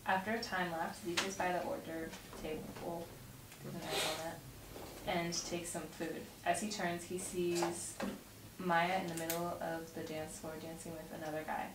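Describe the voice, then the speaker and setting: A woman's voice: fairly low pitch, little pitch movement, normal speed. A young woman reading stage directions aloud at a table read, recorded from across a dining room. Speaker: script narrator at a table read. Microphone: far camera mic. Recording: distant mic, roomy echo, noisy.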